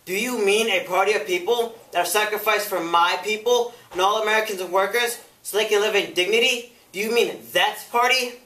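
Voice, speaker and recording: Defiant male activist on the witness stand played by a man in a dramatic recital, indignant, oratorical, forceful, echoey. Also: high voice, a little fast, few pauses, voice pushed up. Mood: challenging. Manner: emphatic, oratorical, challenging. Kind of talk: dramatic prose interpretation. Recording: echoey room, picked up from far off.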